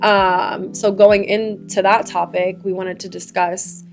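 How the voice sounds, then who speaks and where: A woman's voice: mid-range voice, level tone, takes it slowly, gravelly, faint music behind. A young woman whose first language is Farsi, co-hosting a podcast in a casual, earnest chat and speaking eagerly about fitness. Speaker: podcast co-host.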